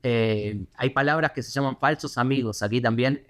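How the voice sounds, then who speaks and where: A man with a Buenos Aires accent, a correspondent answering interview questions in a reflective, halting way with many fillers and restarts. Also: mid-range voice, fairly bright tone. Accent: Buenos Aires Spanish. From Argentina.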